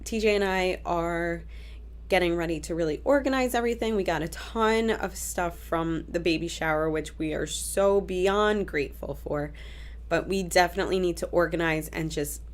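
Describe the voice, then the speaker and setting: A young woman talks casually to her viewers in a relaxed, chatty vlog, slipping in fillers and restarting her sentences as she goes. Her voice sits a little low for a woman, and a cold leaves it audibly stuffy and congested. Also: moderate pace. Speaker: vlogger. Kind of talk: casual pregnancy update vlog.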